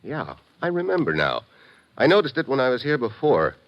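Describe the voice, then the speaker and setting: An actor playing a tough, wry American secret agent, hard-boiled and slangy, in 1950s radio drama style. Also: male voice, medium pitch, huge pitch swings, fairly quick, muffled recording. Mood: alert, curious.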